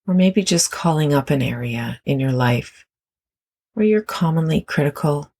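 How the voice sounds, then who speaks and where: A meditation guide giving gentle, unhurried instructions with long pauses between phrases. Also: medium pitch, lively intonation, gravelly.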